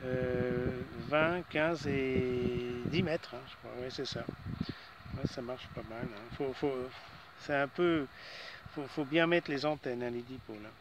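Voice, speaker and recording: A man's voice: mid-range voice, slow. A man chatting casually as an amateur radio operator, in hesitant phrases that often trail off, with some background noise.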